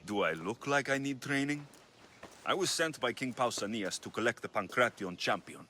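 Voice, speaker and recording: An actor playing a gruff, impatient young Spartan mercenary in a game, speaking in a curt, stern and sardonic way. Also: male voice, mid-range voice, animated, moderate pace, bright, forceful tone, slight background noise. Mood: irritated, stern.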